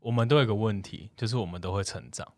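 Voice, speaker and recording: A young man with a fairly low voice co-hosts a podcast in a loose, chatty way. He is playful and likes to tease, repeating short phrases as he goes. The sound is close and dry, as in a studio. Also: quick pace, no room sound. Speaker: podcast co-host. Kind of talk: casual podcast chat. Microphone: close studio microphone.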